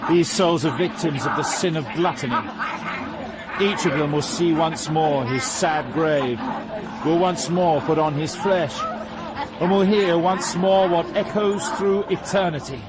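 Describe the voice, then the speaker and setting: A man playing a calm, solemn ancient poet guiding through hell in an audio drama, measured and grave. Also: on the high side, crowd noise, background noise, close to an everyday voice.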